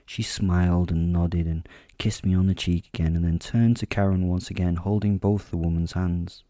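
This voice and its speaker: A man's voice: deep voice, flat delivery, moderate pace, warm tone, no room sound, studio quality, natural voice. A man playing a wry werewolf killer who narrates his own story in a first-person audio drama. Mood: wry, observant.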